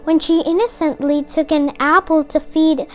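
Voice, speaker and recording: A storyteller reading a children's storybook aloud in an expressive, dramatic way. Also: high voice, normal speed. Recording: music behind the voice. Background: music.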